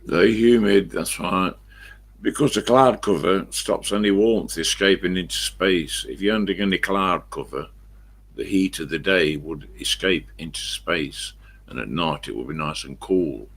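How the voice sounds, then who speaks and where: Croaky, low-voiced elderly English man. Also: a little slow, a bit bright. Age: 70s or older. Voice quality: croaky, husky smoker's voice with a cough. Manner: chatty, mild swearing, answers his own questions. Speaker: live streamer. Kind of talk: rambling casual chat to live viewers.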